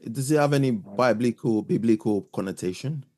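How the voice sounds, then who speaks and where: A man's voice: mid-range voice, a little fast, mellow. A man answering quiz-like questions in a casual podcast chat, tentative and guessing, a little away from the microphone.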